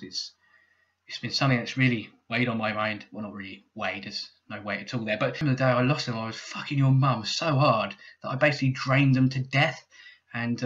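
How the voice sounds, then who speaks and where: A man's voice: lowish voice, fairly bright tone, highs cut off. A British man in casual commentary, answering viewer comments with dry, sardonic asides. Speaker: YouTuber reading viewer comments. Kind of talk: sarcastic comment-reading commentary.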